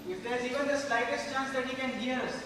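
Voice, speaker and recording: A man's voice: extremely high pitch, fairly even intonation, fast talker. A man acting in an amateur stage play as a chatty, earnest teenage friend, speaking in a projected, conversational way. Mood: wistful, chatty. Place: large indoor theater hall stage. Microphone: distant camera or room microphone. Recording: echoey hall from far off, noisy.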